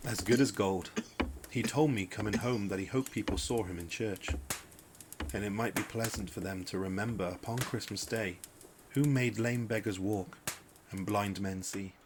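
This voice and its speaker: Man as a kindly poor father, gentle, cheerful, noisy background. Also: on the low side, moderate pace, close to an everyday voice.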